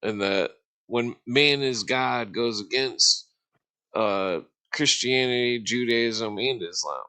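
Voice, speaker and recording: A man's voice: mid-range voice, slow, pushed and bright, no echo. A man debating on a casual livestream in an insistent, explanatory way, pushing to be allowed to finish his point. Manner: insistent, explanatory, repeatedly asking to be let finish.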